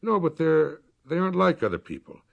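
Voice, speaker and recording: Man reading as an earnest, creaky-voiced local farmer. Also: on the high side, sing-song, brisk, narrow, tinny audio, pitched above the performer's own voice, exaggerated voice. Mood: earnest, halting. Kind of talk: dialogue in a story reading.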